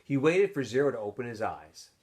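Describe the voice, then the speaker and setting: A man reading a story aloud like a teacher to students, in expressive narration. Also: mid-range voice, brisk.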